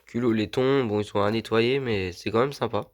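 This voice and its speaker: A man with a hoarse voice from a cold, casually showing off old lighting gear he has collected, filling his talk with many small fillers. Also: lowish voice, normal speed, slight background noise. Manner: rambling, many fillers like voilà and euh. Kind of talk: casual show-and-tell vlog.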